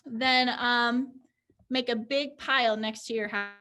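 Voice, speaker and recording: A woman's voice: on the high side, fairly even intonation, takes it slowly, sharp tone. A young woman teacher leading a pantomime activity online, upbeat and encouraging as she explains each step.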